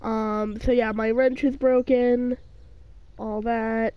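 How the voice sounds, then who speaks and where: A woman's voice: fairly high pitch, little pitch movement, slow, lo-fi sound. A woman talking over her survival game while sick, rambling with lots of fillers and restarts. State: sick, voice sounds off. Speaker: gaming channel host. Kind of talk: casual let's-play commentary.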